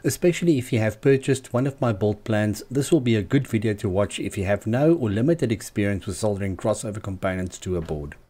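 Man, low pitch, dark relaxed tone, measured pacing of explanations, scripted instructional voice-over. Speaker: host of a DIY loudspeaker channel.

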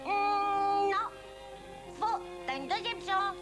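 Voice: very high voice, slow pace. An actor voicing a cocky, scheming little cartoon duck with a mocking, needling, theatrical delivery. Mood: gleefully mocking. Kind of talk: French-dubbed cartoon acting. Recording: old cartoon soundtrack with loud music.

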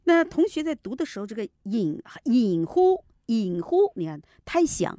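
A woman's voice: a little high, very animated, normal speed, warm tone, no room sound, studio quality. A woman speaking as a teacher giving commentary, instructive and explanatory, repeating her key points. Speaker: Mandarin teacher and contest commentator.

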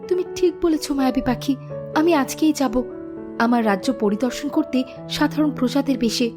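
Young fairy tale cartoon queen, played by a woman: high-pitched, naive and plaintive, soft music underneath. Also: animated, fairly quick. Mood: earnest, thoughtful. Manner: plaintive, earnest. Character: young princess turned queen, grieving, naive, earnest.

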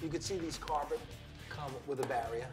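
A man hosting a home renovation show, with brisk, punchy, salesy narration and chat. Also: a little high, over music. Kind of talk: upbeat TV host narration and chat.

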